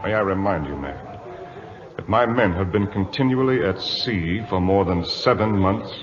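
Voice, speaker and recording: An actor playing a stern, formal naval captain giving commanding orders in an old-time radio drama. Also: male voice, low voice, moderate pace, close to an everyday voice. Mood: stern, irritable. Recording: muffled, very noisy old radio recording.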